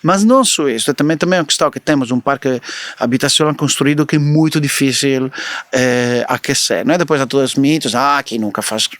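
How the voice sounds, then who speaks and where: A man's voice: mid-range voice, quick pace, fairly bright tone, no room sound. A man from southern Italy speaks as a guest researcher, with an Italian accent and a mid-range voice. He explains things analytically, often correcting himself, in a dry, close podcast sound.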